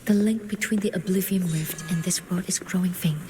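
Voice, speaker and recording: A woman playing a gentle, sorrowful healer in a fantasy game, speaking softly and formally, often close to a whisper. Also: on the low side, fairly even intonation, background noise, lightly acted. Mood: calm, tender. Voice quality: soft, breathy, often near whispering. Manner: solemn, measured, formal speech. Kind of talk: scripted fantasy game dialogue.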